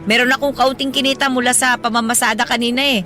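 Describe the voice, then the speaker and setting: Radio drama mother, late 30s: high, forceful, colloquial, affectionate and proud. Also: quick pace, soft background music. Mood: cheerful. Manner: animated, motherly, colloquial, playfully indignant. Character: hardworking, proud, affectionate 37-year-old mother driving a pedicab. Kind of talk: Filipino radio drama acting.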